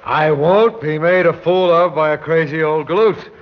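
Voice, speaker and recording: An actor playing an irascible, stubborn boss with a pompous, blustering manner, in a classic radio drama. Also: male voice, fairly high pitch, normal speed, close to an everyday voice. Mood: exasperated. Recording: dull old radio recording.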